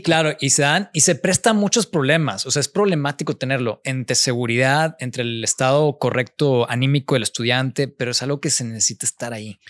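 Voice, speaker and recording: Man, thirties, relaxed storyteller, dry close sound, mid pitch. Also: no echo. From born in the United States, raised Mexican. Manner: relaxed storytelling, mixes in English words. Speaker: guest architect. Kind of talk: casual interview conversation.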